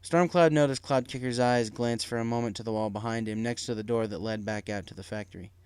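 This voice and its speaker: A man's voice: medium pitch, fairly even intonation, quick pace, darkish tone, clear, resonant tone, no room sound. A man reading a story aloud as scripted audiobook narration. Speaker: audiobook reader of fan fiction.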